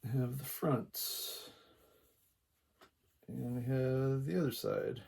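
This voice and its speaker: A quiet-voiced man giving a casual, unhurried hands-on tutorial, talking along as he works, with a gravelly voice. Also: mid-range voice. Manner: unhurried, talks along while working. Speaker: craft tutorial host.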